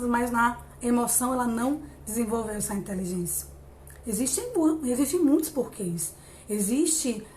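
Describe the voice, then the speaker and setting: A woman in her late thirties giving an encouraging live-stream talk on emotional growth, pausing often and restarting her sentences. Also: on the high side, a little hiss or hum. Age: 30s. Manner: warm, encouraging, many hesitations and restarts. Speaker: psychologist hosting a live stream.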